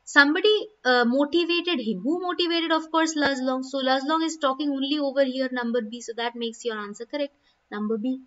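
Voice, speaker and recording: A woman's voice: sits high, a little fast, a bit bright. A young woman teaching an English literature class online, patiently going over quiz answers and calling on students by name. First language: not Hindi. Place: small room at home. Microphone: laptop webcam mic. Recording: dull, distant laptop audio.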